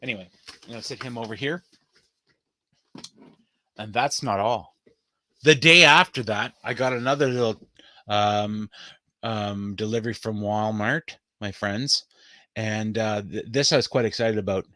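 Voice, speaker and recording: A man's voice: fairly low pitch, measured pace, pauses often, sharp tone, no echo. A man hosting a casual vlog, chatting upbeat and playful as he shows off his collectibles to the camera. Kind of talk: casual show-and-tell chat to camera.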